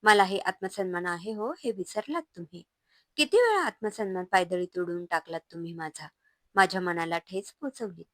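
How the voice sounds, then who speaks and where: A woman's voice: fairly low pitch, animated, moderate pace, a bit bright, no room sound, clean studio sound. A woman voicing a hurt but resolute young wife who stands up to her husband in a firm, raised voice.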